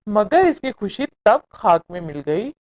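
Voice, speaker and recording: A woman narrating a scripted moral story for children in a measured, expressive storytelling manner. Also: medium pitch.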